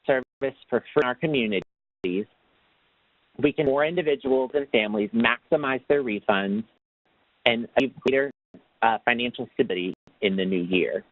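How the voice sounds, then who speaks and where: A man presenting slides in a webinar, in a formal read-out way that turns halting off script, heard over a phone line. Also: mid-range voice, dry recording. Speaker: webinar presenter, tax assistance program manager. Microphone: phone or conference line. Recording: tinny, narrow phone line.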